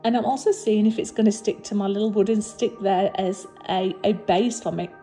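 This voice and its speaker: Woman doing a chatty voice-over for her craft vid, bit husky and tired, mellow tone, soft music under it. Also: mid-range voice, fairly even intonation, brisk. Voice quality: slightly husky. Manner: chatty, warm and encouraging, with fillers and restarts. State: low energy after recent surgery. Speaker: resin artist and channel host. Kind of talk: casual voice-over narration of a craft video.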